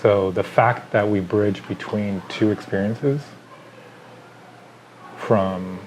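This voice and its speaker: A middle-aged man from Chile talks through his ideas as an artist in an interview. His voice sits low, and he pauses often with fillers as he lists his thoughts one by one. A faint hum of room ambience runs behind him. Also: fairly even intonation, a little mellow, low-quality recording.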